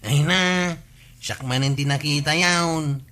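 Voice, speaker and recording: An actor playing a bashful, lovestruck bachelor in an Ilocano comedy radio drama, speaking sheepishly with an Ilocano accent. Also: male voice, on the high side, slow, sharp tone. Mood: defensive. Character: bashful lovestruck bachelor nephew, earnest and eager.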